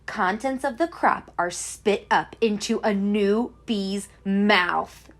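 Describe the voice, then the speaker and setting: A woman who teaches kindergarten, reading a children's picture book aloud in an expressive, explanatory way. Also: medium pitch, slow pace. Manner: clear explaining to young children, animated emphasis.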